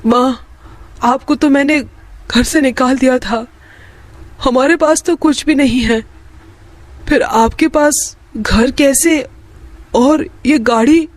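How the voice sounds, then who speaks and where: A man's voice: extremely high pitch, slow pace, pauses often, dry recording. A man voicing a meek, luckless young son and husband in a children's moral story. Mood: puzzled.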